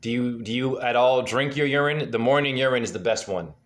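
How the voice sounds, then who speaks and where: A man in his forties talks in a mid-pitched voice over a webcam call from a dim room at home. He gives a freewheeling, earnest reading and keeps asking probing questions. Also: brisk. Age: 40s. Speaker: spiritual numerology reader. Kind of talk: casual video-call name reading. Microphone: webcam on a video call.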